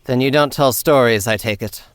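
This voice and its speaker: An actor playing a nervous, fussy male steward in an audiobook, haughty and quick to sputter with outrage. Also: mid-range voice, clear, resonant tone, dry recording, clean studio sound, natural voice. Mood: haughty, flustered. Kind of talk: full-cast audiobook acting.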